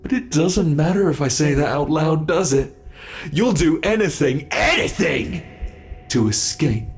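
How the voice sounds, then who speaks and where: A sadistic monster from under the bed, voiced by a British man, speaking in a gravelly, unhurried drawl. He lingers over every word, mocking and menacing his captive with slow relish. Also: a little high, lively intonation, faint music behind. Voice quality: gravelly, slow, drawn-out delivery. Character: cruel male monster under the bed, toying with prey. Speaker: ASMR roleplay performer. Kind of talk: ASMR horror roleplay.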